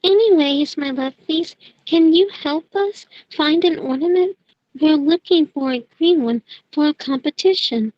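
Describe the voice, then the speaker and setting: A woman performs a kind teenage witch with a high voice, earnest and affectionate. The audio drama recording is close and dry, a little dull in tone. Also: a little slow, dry recording. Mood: sweet, affectionate. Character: kind, sweet, principled teenage witch girl. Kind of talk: fan audio drama acting.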